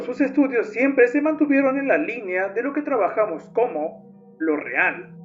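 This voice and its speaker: A man reading scripted narration as a narrator. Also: high voice. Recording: dull lo-fi audio with music. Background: music.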